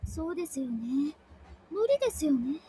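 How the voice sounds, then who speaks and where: A woman's voice: sits high, sing-song, warm tone, a little hiss or hum. A woman playing an earnest, eager young stage performer in a Japanese visual novel, speaking politely with breathless eagerness. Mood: dejected.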